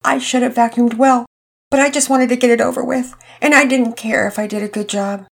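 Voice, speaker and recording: A woman's voice: fairly high pitch, steady tone, normal speed, a little mellow, husky, pitched above the performer's own voice. A woman voicing a young boy in a storybook, apologizing earnestly in a raised voice. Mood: contrite. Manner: apologetic, heartfelt.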